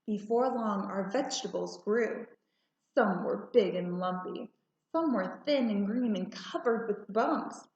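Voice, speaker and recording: A woman narrating a children's storybook aloud in a deliberate, expressive way. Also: medium pitch. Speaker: storybook reader. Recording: roomy, slightly muffled home recording.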